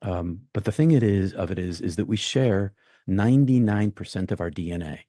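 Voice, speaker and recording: A very deep, mellow male voice from the United States, recorded dry and close. He talks as an interview guest in a reflective, rambling way, with frequent ums and restarts as he works through his thoughts. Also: moderate pace, no echo. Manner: rambling, thinks aloud with many ums and restarts.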